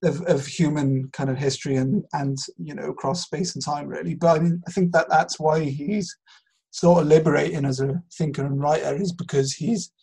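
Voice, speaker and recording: Gravelly, husky man talking ideas through. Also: on the high side, steady tone, moderate pace, warm tone. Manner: hesitant, rambling explanation with hedging. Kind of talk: casual intellectual discussion.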